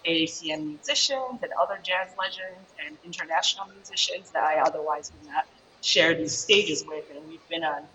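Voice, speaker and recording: A woman's voice: sits low, brisk, bright, forceful tone, a little hiss or hum, rough recording. A woman from Chicago whose first language is Japanese, answering interview questions casually with lots of fillers over a shared mic. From Chicago, USA. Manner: rambling, many fillers. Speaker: guest taiko performer. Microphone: shared mic on a video call.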